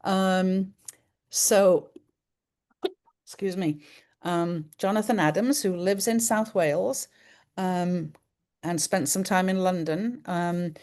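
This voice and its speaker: Woman introducing a guest on a zoom call, slow, lots of ums, lowish voice. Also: darkish tone. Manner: slow, halting, many ums. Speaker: society president moderating. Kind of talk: introducing a speaker. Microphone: video call.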